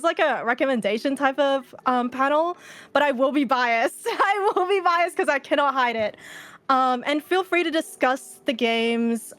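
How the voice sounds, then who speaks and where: Higher-pitched Australian woman presenting casually. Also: fairly bright tone, clean tone. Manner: informal, sweary, peppered with "like". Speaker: convention panel presenter. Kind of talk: casual fan talk with slides. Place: indoors, virtual convention presentation.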